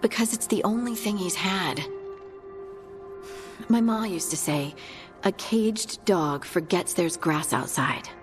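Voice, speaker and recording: A woman playing a warm, earnest young scout in a fantasy video game, chatting in a friendly, conversational way. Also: fairly low pitch, slow pace, long pauses, faint music behind. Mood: reflective. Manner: chatty, friendly, lightly amused.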